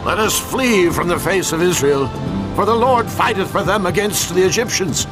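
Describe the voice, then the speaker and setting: Panicked high-voiced Egyptian soldier. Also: male voice, animated, normal speed, low-quality recording. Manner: urgent, alarmed. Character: panicked Egyptian male soldier urging flight. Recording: music behind the voice.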